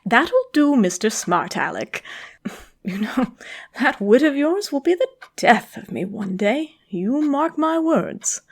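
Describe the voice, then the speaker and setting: A woman's voice: high-pitched, sing-song, slow, full character voice. A woman playing a doting elderly aunt in a comic dub, fussing and chiding with affection. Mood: fond chiding. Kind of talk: dramatic comic dub acting.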